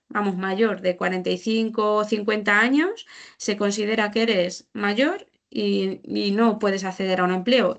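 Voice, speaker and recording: A woman presenting report findings informally, explaining hesitantly and often rephrasing or repeating her points. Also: medium pitch. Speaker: social policy presenter.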